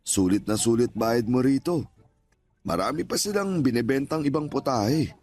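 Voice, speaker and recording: A man's voice: mid-range voice, animated, moderate pace, darkish tone, close to an everyday voice. An actor playing a kindly, talkative older father and former street vendor in a Tagalog radio drama, plainspoken and folksy. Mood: chatty, contented.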